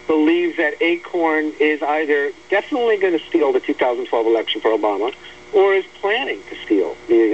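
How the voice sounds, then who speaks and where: An American man giving blunt, emphatic, argumentative political commentary as a guest on a talk radio show. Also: fairly high pitch. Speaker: guest commentator discussing an article they wrote. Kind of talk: opinionated political radio discussion. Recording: dull lo-fi radio audio, faint hum.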